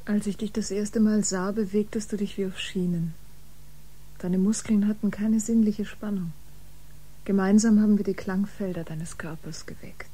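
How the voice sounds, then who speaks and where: Actress as husky, intense exiled woman musician. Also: mid-range voice, steady tone, warm tone, close to an everyday voice, low-quality recording. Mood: intense, instructive. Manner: dramatic, breathy, deliberate. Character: proud, wounded woman biomusician exiled in space, intense. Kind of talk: German science fiction radio drama acting.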